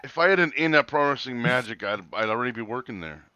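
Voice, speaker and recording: A man in his forties with a bright, forceful voice tells stories in casual co-host chat. The pitch is average, and the recording is dry but somewhat dull and lo-fi. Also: expressive, fast, clear, resonant tone, no room sound. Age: 40s. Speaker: co-host of a wrestling talk show.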